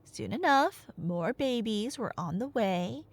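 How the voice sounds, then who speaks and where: A woman's voice: on the high side, lively intonation, slow pace. A woman performing an audio roleplay as a nerdy girlfriend, telling a story casually with playful asides to an unheard listener. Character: chatty nerdy girlfriend excitedly retelling Greek myths.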